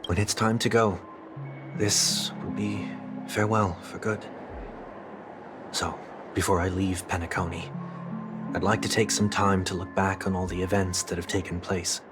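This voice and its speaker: An actor playing a composed, formal young man who speaks in measured, deliberate sentences, with music playing behind him. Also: sits low, little pitch movement, close to an everyday voice. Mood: calm. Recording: game soundtrack music behind.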